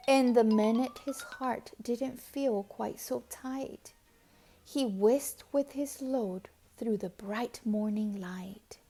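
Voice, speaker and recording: A woman narrating a children's storybook aloud in an expressive, dramatic way, at times hushed to a whisper. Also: fairly high pitch. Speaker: storybook reader.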